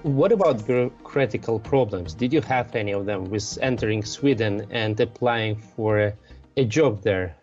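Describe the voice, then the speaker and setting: A man's voice: lowish voice, normal speed, darkish tone, highs cut off, faint music behind. A man, hosting a radio program and putting questions to a guest. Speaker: radio host, interviewer.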